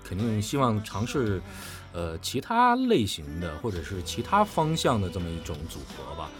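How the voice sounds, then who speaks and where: A man's voice: lowish voice, wildly swooping pitch, normal speed. A man chatting on a panel as a voice actor, explaining his views earnestly and with emphasis. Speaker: voice actor and drama director. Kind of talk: casual panel chat. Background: background music.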